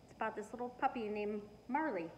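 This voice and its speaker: A middle-aged woman reading a children's storybook aloud with expressive, emphatic storytelling. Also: fairly high pitch, fast, highs cut off. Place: living room, indoors. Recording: noisy living room recording.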